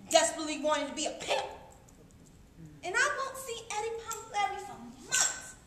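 High, bright-voiced woman performing dramatic monologue. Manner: emotive, swinging between hushed and loud delivery. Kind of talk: dramatic theatrical poetry monologue. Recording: echoey room with faint background noise.

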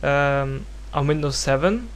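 A man from Norway speaking English as a second language with a Norwegian accent, giving a casual, unscripted software tutorial in a hesitant, rambling, self-deprecating way. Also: fairly high pitch, a little slow. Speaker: tutorial presenter. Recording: home recording with clear background noise and hum.

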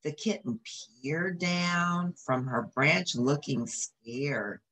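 Low-voiced, unhurried woman reading a storybook. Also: fairly bright tone. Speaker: storybook reader. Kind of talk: read-aloud children's story with asides. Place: small indoor room.

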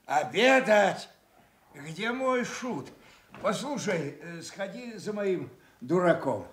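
A man's voice: high voice, expressive, takes it slowly, a bit bright, roomy sound, a little hiss or hum, heavily acted. A man acting an imperious, impatient old king in a theatrical radio drama, abrupt and demanding.

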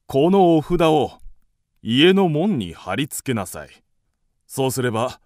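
A man's voice: mid-range voice, very animated, a little slow, a little mellow. A man playing a grave, reassuring ascetic healer in a radio drama, with solemn, formal archaic speech. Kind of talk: Japanese radio drama acting.

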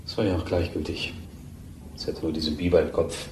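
An actor playing an anxious, defensive widower in a crime radio drama, with an irritable manner. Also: male voice, rumbling low, flat delivery, fairly quick, darkish tone, reverberant, a little hiss or hum. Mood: irritable, dismissive. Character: defensive, anxious unfaithful widower fearing police suspicion.

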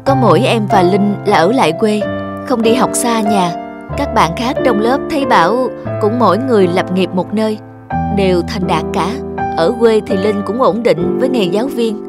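A woman playing a modest, self-effacing young wife in a cartoon, gentle and hesitant. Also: medium pitch, normal speed, natural voice. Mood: nostalgic, wistful. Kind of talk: cartoon dialogue. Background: music.

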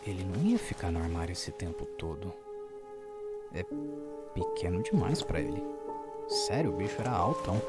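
A man's voice: low voice, normal speed, pauses often, loud music behind the voice, lightly acted. A man playing an exasperated, nagging journalist partner who scolds his colleague in an audio drama. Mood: wary, annoyed.

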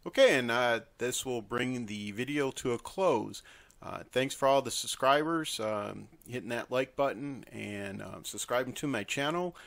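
Male tutorial presenter, gravelly, halting and unscripted, in a dry close recording. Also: mid-range voice, a bit bright, no echo.

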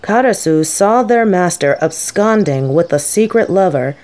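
A woman playing a gentle, scholarly female professor in an audio roleplay, speaking thoughtfully in formal words. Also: fairly low pitch, expressive, measured pace. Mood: measured, documentary. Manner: soft-spoken, thoughtful, formal wording.

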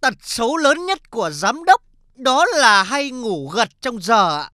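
A man's voice: very high voice, very animated, unhurried, pushed and bright, dry recording, clean studio sound, exaggerated voice. A man voicing a timid, deferential office employee in a cartoon, speaking hesitantly. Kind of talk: cartoon comedy acting.

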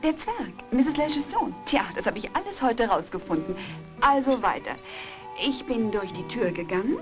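A woman playing a curious, affectionate wife in a German audio drama, with a gentle, coaxing manner. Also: on the high side, big pitch swings, normal speed, over music. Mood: curious, excited. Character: curious, affectionate wife encouraging her struggling writer husband.

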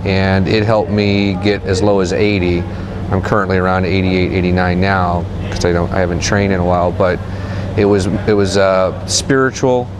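A man in his thirties being interviewed at an open-air training gym, talking in a halting way with many restarts. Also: low voice, flat delivery. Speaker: gym staff member. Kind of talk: on-camera interview. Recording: outdoor noise behind the voice.

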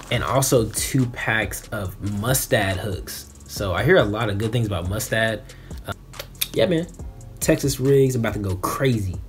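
Young adult American male, lowish pitch, laid-back slangy vlogger delivery, music underneath. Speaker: fishing vlogger. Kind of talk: casual unboxing chat. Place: small bedroom, indoors.

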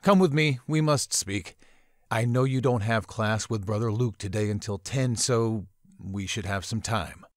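A man voicing a stern, formal older male professor in a visual novel, speaking in a measured way. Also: lowish voice, no echo, studio quality, voice pushed up, natural voice. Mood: grave.

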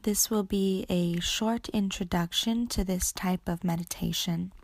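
A woman guiding a meditation in a soothing, unhurried way, with breathy ends to her phrases. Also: medium pitch, a little mellow, no echo. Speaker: yoga nidra meditation guide. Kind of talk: guided meditation narration.

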